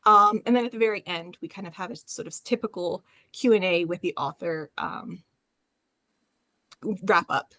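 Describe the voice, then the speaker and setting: A woman speaking as a panelist in an online session, explaining informally with frequent hesitant pauses. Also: fairly low pitch, bright, forceful tone, no room sound. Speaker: panelist, librarian. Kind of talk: informal presentation with slides. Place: online panel, close dry sound.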